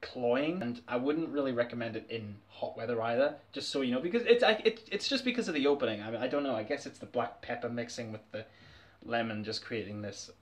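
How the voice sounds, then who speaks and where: A man's voice: mid-range voice, fairly quick. A young man, chatting candidly and laughing often as he reviews a fragrance in a small bedroom. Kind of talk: casual review vlog. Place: small dim bedroom, indoors.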